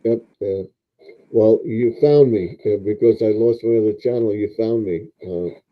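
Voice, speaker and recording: Man, 70s, low voice, rambling, filler-heavy, casual live stream host, muffled lo-fi sound. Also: measured pace. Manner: rambling, lots of fillers and restarts.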